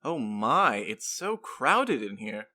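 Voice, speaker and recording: A flamboyant, playfully possessive young lover in a comedic audio drama. His bright, forward voice swings animatedly through effusive, teasing lines. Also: mid-range voice, very animated, slow, exaggerated voice.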